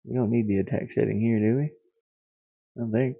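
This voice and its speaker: A man thinking aloud on a casual livestream as he works, muttering in a halting, absent-minded way. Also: medium pitch, fairly even intonation, creaky. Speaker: livestreamer, hobby game developer. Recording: boxy echo, thin phone-like sound.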